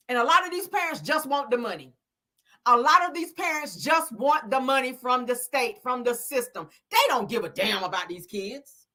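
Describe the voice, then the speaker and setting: Bright-voiced outspoken woman host. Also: high voice. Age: 30s. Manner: blunt, preachy, talks to chat. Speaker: live show host. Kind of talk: casual live-stream commentary.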